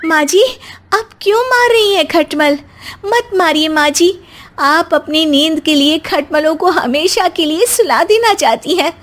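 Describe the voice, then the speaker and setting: A woman playing a naive, soft-hearted young daughter-in-law in a comic story, earnest, polite and pleading. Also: very high voice, a little slow, slight background noise, pitched above the performer's own voice. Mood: pleading, preachy. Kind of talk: acted Hindi comic story dialogue.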